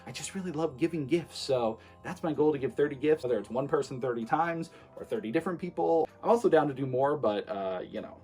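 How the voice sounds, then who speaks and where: A man in his late twenties, a streamer, talking casually to his viewers in a relaxed, rambling way with many fillers. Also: mid-range voice, fast, hardly any pauses, soft background music. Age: 20s. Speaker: streamer and vlogger. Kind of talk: casual talk to camera.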